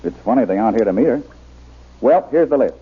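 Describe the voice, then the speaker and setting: An actor playing a brave, plain-spoken jungle hero in a radio adventure serial, earnest, courteous and steady. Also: male voice, medium pitch, fast, husky. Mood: steady, easygoing. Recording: muffled old radio broadcast recording.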